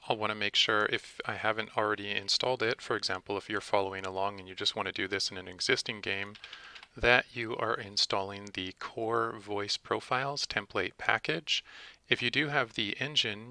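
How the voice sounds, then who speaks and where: A man narrating a software tutorial as its presenter, methodically explaining things step by step. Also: lowish voice, gravelly, dry recording. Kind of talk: instructional screencast narration.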